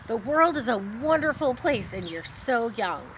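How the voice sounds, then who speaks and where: A woman voicing a bossy, excitable goose in a storybook, repeating phrases eagerly. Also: fairly high pitch, a little hiss or hum, pitched above the performer's own voice. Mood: enthusiastic. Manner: repeats phrases rapidly, exhorting. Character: bossy, excitable goose, fast-talking and repetitive.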